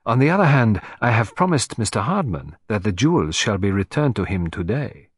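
Casting: polite, playful, precise foreign detective for audiobook mystery man acting, lilting pitch, dry studio sound. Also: sing-song, fairly quick, husky, no room sound. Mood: polite, firm. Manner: polite, precise, teasing, with French phrases. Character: shrewd, courteous, playful little foreign male detective.

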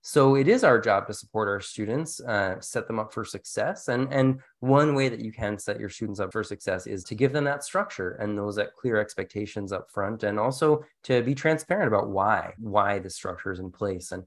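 A man's voice: on the low side, expressive, moderate pace, hardly any pauses. A man hosting an educational podcast in a casual, conversational way with a jokey streak. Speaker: podcast host.

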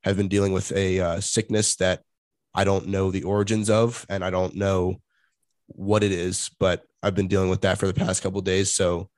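Congested man, low flat voice. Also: normal speed, no echo. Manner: monotonous tone. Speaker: podcast co-host. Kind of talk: casual sports podcast chat.

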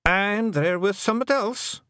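A man's voice: sits high, unhurried, bright, forceful tone, smooth, dry recording, studio quality, pitched above the performer's own voice. A man voicing a tiny, nervous, cheeky Scottish warrior man in an audiobook story, deferential yet eager to rouse his companions. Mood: proud, rousing. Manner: muttering, pleading, rousing his companions.

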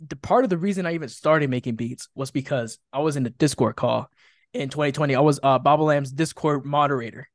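A young man with an American accent talks quickly in a mid-pitched voice. He is casual and slangy, doubling back on phrases like a guest in a relaxed interview. The sound is dry, with almost no room on it. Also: dry recording. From Chicago, United States. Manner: slangy, repeats phrases as he goes. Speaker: music producer guest.

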